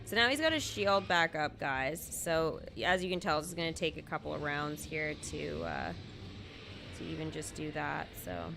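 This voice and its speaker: A woman's voice: deep voice, sing-song, moderate pace, vocal fry, noisy background. A woman chatting casually to viewers as she gives play-by-play commentary on a game, with informal exclamatory asides. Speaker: live game streamer. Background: video game music.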